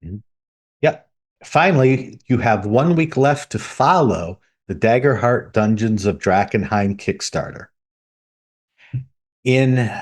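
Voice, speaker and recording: A man's voice: mid-range voice, a little mellow. A man co-hosting a podcast, reading out news items in a conversational way. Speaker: podcast co-host.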